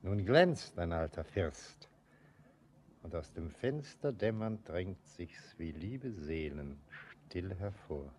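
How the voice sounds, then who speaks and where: A man's voice: deep voice, animated, slow pace, darkish tone, a little hiss or hum. An actor playing a brooding, sentimental ageing father and mine master in a German radio play, declamatory and lyrical. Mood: moved, proud.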